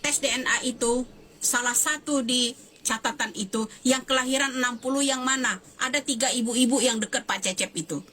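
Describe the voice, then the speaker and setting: A woman's voice: fairly high pitch, moderate pace, sharp tone. A woman in her fifties, a social media commentator, giving a fiery, emphatic statement that repeats phrases and demands answers. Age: 50s. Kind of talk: impassioned video statement.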